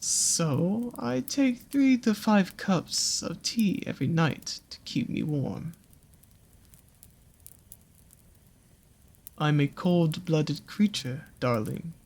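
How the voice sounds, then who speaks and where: A performer voicing a seductive, slyly menacing naga monster in an intimate audio roleplay, with a husky, breathy voice and slow, coaxing, teasing phrasing. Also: mid-range voice, a little mellow. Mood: teasing, tender.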